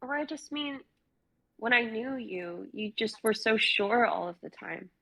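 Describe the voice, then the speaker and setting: A woman's voice: a little high, unhurried, creaky, fairly bright tone, full character voice, rough recording. A young woman playing a bewildered, awkward twenty-three-year-old in a dramatic reading, speaking hesitantly in modern casual phrasing. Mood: lost, unsure. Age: young adult.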